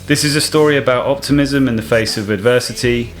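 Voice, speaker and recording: Podcast host guy, friendly, says things twice, soft music faintly under him. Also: mid-range voice, fast. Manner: friendly, repeats words, many fillers. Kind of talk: casual chat and scripted intro.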